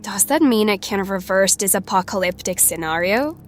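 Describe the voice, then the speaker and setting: A woman's voice: medium pitch, expressive, normal speed, soft background music, close to an everyday voice. A woman playing a plucky young survivor in an audio drama, with a wry, casual, direct way of talking. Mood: earnest, awed. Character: wry, plucky young female survivor seeking to stop an apocalypse.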